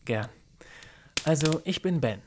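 A man's voice: fairly high pitch, expressive, slow, darkish tone, a little hiss or hum. A man playing a polite, charming young apprentice gardener in a radio play, courteous and gallant. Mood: charming, friendly. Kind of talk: German radio-play acting.

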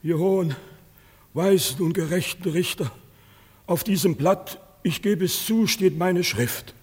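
A male actor playing a scheming, sanctimonious old father in a theatrical German radio play, husky and wheedling in a reverberant hall. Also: high voice, full character voice. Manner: wheedling, piously declamatory. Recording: long reverberant hall sound.

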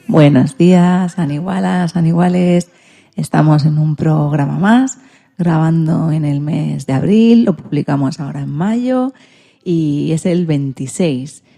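Female radio presenter, low pitch with gravelly edge, magazine show delivery. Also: measured pace, mellow. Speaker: radio programme host. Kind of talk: hosting a radio magazine programme.

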